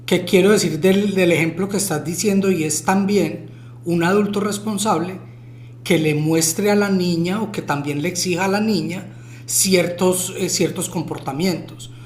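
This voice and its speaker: A man's voice: high voice, normal speed, echoey room, a little hiss or hum. A man from Colombia co-hosting a podcast, cutting in with firm opinions and using "permitime". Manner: opinionated, interjecting, uses voseo. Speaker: podcast co-host. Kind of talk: casual debate.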